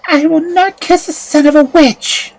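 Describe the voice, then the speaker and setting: A woman's voice: high voice, steady tone, unhurried, vocal fry, darkish tone, pitched above the performer's own voice, low-quality recording. A woman voicing a frightened but defiant young girl in a fairy tale reading. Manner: pleading, defiant.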